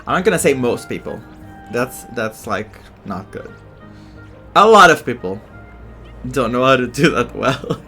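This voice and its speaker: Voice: mid-range voice, very animated, measured pace, pauses often, sharp tone, breathy edge, soft background music. A streamer giving casual, off-the-cuff commentary while playing through a game.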